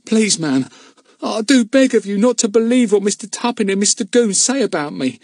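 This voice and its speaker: A man's voice: high-pitched, steady tone, measured pace, darkish tone, dry recording, clean studio sound, voice pushed up. A man voicing a frightened young male gardener who stammers and pleads. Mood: pleading.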